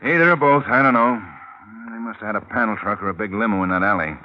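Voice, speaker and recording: An actor playing a wry, gruff American police lieutenant in an old radio drama, talking in slangy, matter-of-fact lines. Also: male voice, on the low side, fast, close to an everyday voice. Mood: weary, matter-of-fact. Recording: tinny, narrow-band vintage radio recording.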